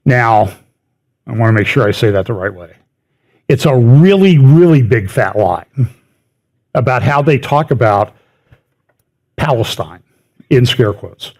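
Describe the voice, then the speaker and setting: A man's voice: mid-range voice, lively intonation, a little slow, pauses often, darkish tone. A middle-aged man giving a conversational lecture over slides, stressing words by repeating them and dropping in wry asides. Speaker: speaker giving a prophecy update talk.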